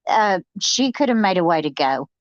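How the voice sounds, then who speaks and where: A woman's voice: fairly low pitch, sing-song, moderate pace, dry recording, muffled recording. A woman reading out viewer comments and giving her opinion on them.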